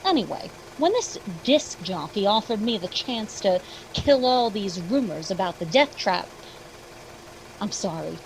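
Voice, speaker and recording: An actress playing a breezy, persuasive property agent in a radio drama, with a folksy, reassuring sales manner. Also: female voice, low-quality recording. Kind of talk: old-time radio acting. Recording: faint engine rumble behind the voice.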